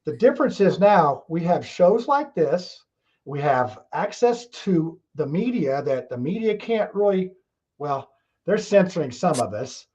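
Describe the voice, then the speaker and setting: A man's voice: fairly high pitch, very animated, measured pace, darkish tone, husky, lo-fi sound. A man hosting a casual talk show, rambling along in a folksy way with frequent chuckles.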